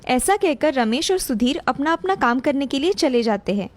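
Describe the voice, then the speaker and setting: A woman's voice: high-pitched, lively intonation, moderate pace. A woman narrator reading a scripted moral story for a cartoon. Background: music.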